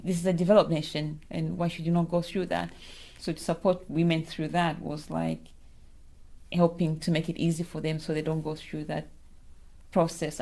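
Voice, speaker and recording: A woman speaks in an oral history interview in a low, level voice with a gravelly edge. Her sentences wander and often start over partway through. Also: a little mellow. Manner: rambling, restarting sentences. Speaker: interviewee, founder of a women's network.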